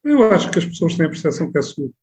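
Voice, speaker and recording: A man's voice: a little high, very animated, very fast, mellow, a little hiss or hum. A man from the Alentejo in Portugal with an Alentejo accent, talking at length as a guest in a radio interview, with many restarts and rhetorical questions. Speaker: regional tourism board president, guest. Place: radio studio.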